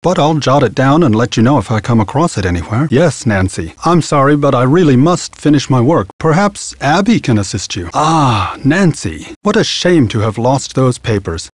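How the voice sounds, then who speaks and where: An actor playing a prim, bookish antiques dealer in a video game, formal, precise and a little aloof. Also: male voice, mid-range voice, sing-song, normal speed, hardly any pauses, no echo. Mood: polite.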